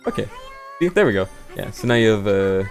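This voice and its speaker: Guy with a low voice casually showing off games, thinking out loud, some music behind him. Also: very animated, fairly quick, vocal fry. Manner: rambling, thinking aloud. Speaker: gaming video host. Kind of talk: casual unscripted gameplay walkthrough. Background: background game music.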